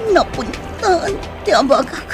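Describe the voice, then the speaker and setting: A woman's voice: high-pitched, very animated, slow, full character voice. A woman acting a frightened, desperate young fugitive in an Ilocano radio drama, breathless and whispery as she pleads. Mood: desperate, tearful. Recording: radio drama with music underneath.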